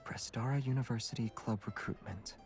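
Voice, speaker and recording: A man playing a gentle, teasing young hunter in a video game, speaking softly and with understatement. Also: mid-range voice, fairly even intonation, brisk, close to an everyday voice. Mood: calm. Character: Xavier, soft-spoken young male hunter, gentle and teasing. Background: background music.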